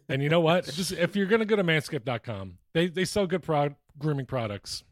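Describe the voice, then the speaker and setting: A man's voice: on the high side, animated, moderate pace, no echo, clean studio sound. A man co-hosting a podcast, talking casually in a joking, conversational way. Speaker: podcast co-host. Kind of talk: casual comic banter.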